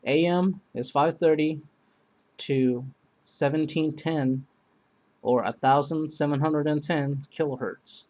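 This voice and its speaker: A man casually demonstrating and comparing two emergency radios, rambling with many pauses and fillers. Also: on the high side, a little mellow. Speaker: product reviewer. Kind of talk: casual product demo.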